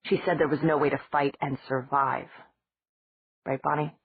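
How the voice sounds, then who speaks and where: Low husky woman as a cool-headed young woman, quiet and wry, phone-thin audio. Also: fairly even intonation, moderate pace, no echo. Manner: quiet, rueful and sober. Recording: dry, tinny phone-like sound.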